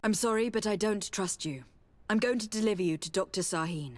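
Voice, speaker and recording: A woman's voice: medium pitch, no echo, close to an everyday voice. Woman playing a composed, stern noblewoman diplomat. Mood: resolute. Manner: formal, measured, authoritative. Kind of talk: video game voice acting.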